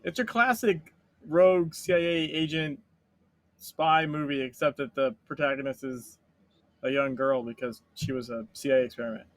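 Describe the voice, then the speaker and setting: A man co-hosting a casual chat, telling stories in a rambling, animated way and swearing freely. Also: mid-range voice, moderate pace. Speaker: podcast co-host.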